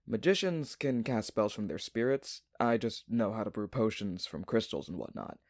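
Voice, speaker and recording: An actor playing an earnest, modest young alchemist in an audio drama, explaining things politely and matter-of-factly. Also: male voice, on the low side, expressive, normal speed, a little mellow, dry recording, studio quality.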